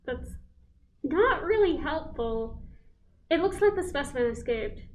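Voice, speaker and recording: A high-voiced actress as a female investigator in a radio drama, businesslike as she questions survivors. There is faint noise behind her. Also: lively intonation, a little slow. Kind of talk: radio play acting.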